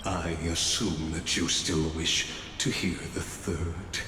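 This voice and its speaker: A man playing a calm, courteous robot in a video game, speaking formally in a cold, measured way. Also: low-pitched, noisy background. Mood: calm, warning. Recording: very echoey with long reverb. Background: loud music.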